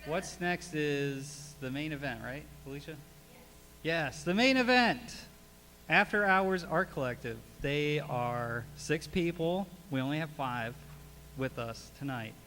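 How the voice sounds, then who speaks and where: A man's voice: fairly high pitch, expressive, a little slow, bright, forceful tone, slight background noise. A man hosting a live meetup event, joking at his own expense and introducing guests on stage. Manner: self-mocking, joking about mispronouncing names. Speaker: event host. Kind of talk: casual live emcee banter.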